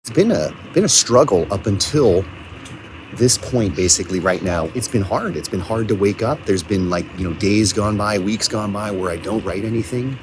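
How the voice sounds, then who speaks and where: A man speaking casually in a self-recorded video diary on location, thinking aloud in a halting way with repeated words. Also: on the low side, expressive. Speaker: audio drama writer and producer. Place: coffee shops and outdoors, on location. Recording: noisy on-location recording with ambient sound.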